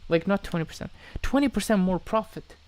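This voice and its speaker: A man's voice: sits high, lively intonation, brisk, darkish tone, a little hiss or hum. A man from Moldova chatting casually on a livestream as he answers viewers, hesitant, often repeating himself and trailing off. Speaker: live streamer answering chat questions.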